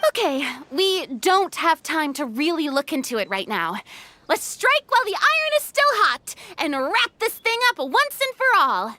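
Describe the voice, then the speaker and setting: A woman playing a theatrical, high-spirited young film director in a video game, dramatic and commanding. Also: very animated, normal speed, sharp tone, full character voice. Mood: thoughtful. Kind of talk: animated video game voice acting.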